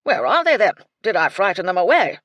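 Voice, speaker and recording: A woman's voice: mid-range voice, big pitch swings, a little fast, fairly bright tone, no room sound, dull audio, pitched above the performer's own voice. A woman voicing a befuddled old retired army colonel, elderly and blustering in clipped, bumbling phrases.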